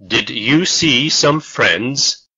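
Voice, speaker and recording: A man reads English drill sentences very slowly, pausing between each phrase. His voice is bright and sharp, and the recording sounds a little dull and lo-fi. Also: medium pitch, animated, slightly rough. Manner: slow, carefully spaced model sentences. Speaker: English lesson voice. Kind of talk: slow scripted language drill.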